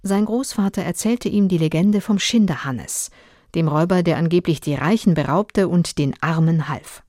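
A woman's voice: lowish voice, moderate pace, a little mellow, no echo, studio quality. A woman reading a scripted case summary in a sober, factual documentary style.

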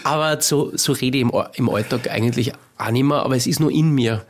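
A man's voice: medium pitch, brisk. A man in his forties with a Styrian Austrian accent, a screenwriter guest talking candidly and bluntly, often restarting his sentences. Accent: Styrian Austrian German. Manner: candid and blunt, many restarts, frequent "weißt du". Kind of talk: casual interview conversation.

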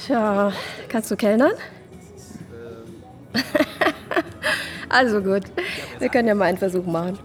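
A woman's voice: medium pitch, a little slow, long pauses, soft background music, close to an everyday voice. An actress playing a frank working woman in a naturalistic radio crime drama. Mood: curious, direct.

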